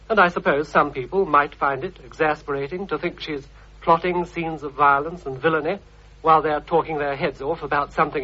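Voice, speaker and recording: Middle-aged male essayist, husky higher register, measured and reflective, muffled old broadcast. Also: a bit bright. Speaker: programme writer and presenter. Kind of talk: scripted radio essay. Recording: muffled vintage radio recording.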